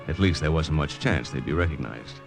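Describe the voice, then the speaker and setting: A man's voice: a bass voice, animated, measured pace, vocal fry, exaggerated voice. An actor playing a terse, hard-boiled wartime agent who narrates his own story in an old-time radio drama. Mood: tense narrating. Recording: old radio recording with music behind.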